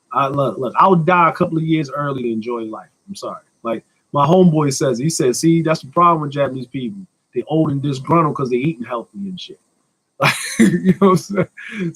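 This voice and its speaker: A man's voice: medium pitch, breathy edge, rough recording. An American man in his thirties chatting casually as a live stream host, answering questions in a blunt, slangy, joking manner with some swearing. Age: 30s.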